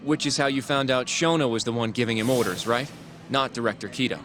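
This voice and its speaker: A man's voice: mid-range voice, a little fast, over music, close to an everyday voice. An actor playing an earnest, polite young male colleague in a video game, mild and a little hesitant in conversation. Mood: curious, helpful.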